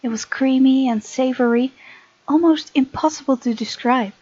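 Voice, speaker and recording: A woman with a fairly high voice reads a visual novel aloud, adding casual, expressive commentary. The sound is dry and a little muffled, like a modest home microphone. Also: moderate pace, dry recording. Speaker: gaming video host.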